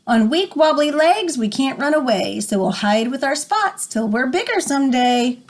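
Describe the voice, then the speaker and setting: A woman voicing small, gentle spotted baby fawns in sing-song rhyming storybook verse. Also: high-pitched, sing-song, normal speed. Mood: playful.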